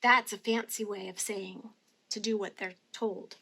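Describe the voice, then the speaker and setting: A woman's voice: mid-range voice, steady tone, measured pace, gravelly, pushed and bright. A woman reading a picture book aloud expressively for young children, with careful, teacherly phrasing. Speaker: storybook reader.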